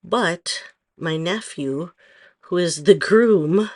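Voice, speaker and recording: Woman, hoarse, middle-aged, slow and rambling, casual nail tutorial vlog. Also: lowish voice, a little mellow. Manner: slow, rambling, with frequent ums. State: hoarse from allergies. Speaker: nail stylist vlogger.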